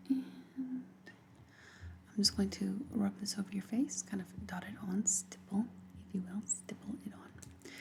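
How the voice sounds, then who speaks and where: A woman whispering softly and unhurriedly in an ASMR roleplay, gently instructing the listener as a makeup artist. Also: medium pitch, background noise. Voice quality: breathy whisper.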